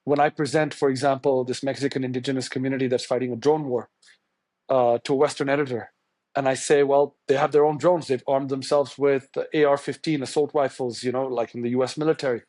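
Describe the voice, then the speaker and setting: Male journalist guest, Tamil first language, from India; musing, halting delivery, dry studio sound. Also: mid-range voice, steady tone, a little mellow, no room sound. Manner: thoughtful, searching, many restarts and fillers. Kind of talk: podcast interview answer.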